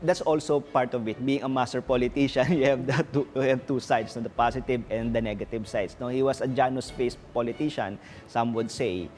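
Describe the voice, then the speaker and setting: A Filipino man giving interview answers as a historian, pausing often with ums and restarts. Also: medium pitch, fairly even intonation, a little mellow, slight background noise. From Philippines. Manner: halting, many ums and restarts. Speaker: historian guest.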